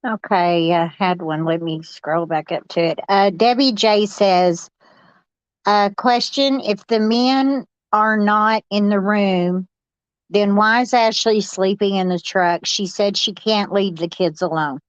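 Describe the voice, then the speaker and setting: A woman's voice: mid-range voice, steady tone, slow, clear, resonant tone, no room sound, dull audio. A woman hosting a casual discussion show, talking informally and reading out comments.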